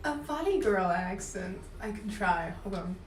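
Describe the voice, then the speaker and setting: Russian-accented woman streamer, playful and chatty, mid-pitched, roomy distant mic. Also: animated, measured pace, a little hiss or hum. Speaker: livestreamer. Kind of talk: casual stream chat.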